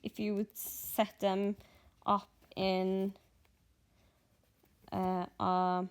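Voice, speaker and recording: A woman from Norway with a Norwegian accent, chatting casually in a vlog, pausing and thinking aloud, a little sleepy. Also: mid-range voice, level tone, clean tone. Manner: halting, thinking aloud with fillers. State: just woken up, short on sleep. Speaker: stitching vlogger.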